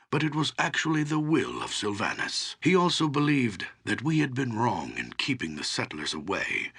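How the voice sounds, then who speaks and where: An aged, grave druid guardian of nature from a fantasy video game. The voice is low and rough with gravel, yet forceful and intense. He speaks with deliberate, portentous solemnity, like a storyteller of old lore. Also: full character voice. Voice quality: gravelly, husky.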